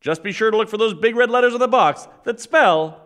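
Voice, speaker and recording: A man's voice: high-pitched, fairly quick, fairly bright tone, soft background music. A man playing a polished, folksy sponsor's announcer in a 1940s-style radio commercial. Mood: enthusiastic.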